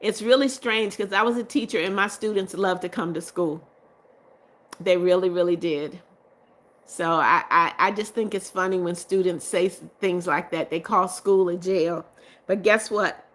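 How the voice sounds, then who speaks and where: A woman's voice: lowish voice, moderate pace. Brief: middle-aged woman host, chatty livestream, reads and answers viewer comments. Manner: reads comments aloud and answers viewers warmly, laughs often. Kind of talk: casual livestream chat reading viewer comments.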